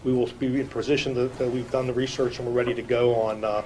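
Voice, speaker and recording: A man with a medium-pitched voice explains things as a police chief at an indoor public meeting. He is detailed and long-winded, often halting mid-sentence. Noise is clearly audible behind him. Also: level tone, a little mellow, poor audio. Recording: clear background noise, room ambience.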